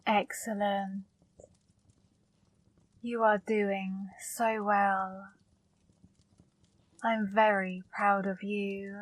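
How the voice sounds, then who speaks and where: A woman playing a flirty, controlling female demon in an ASMR roleplay, speaking slowly, softly and commandingly into a close mic. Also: medium pitch, little pitch movement, no echo, poor audio. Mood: seductive. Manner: slow, soft, commanding.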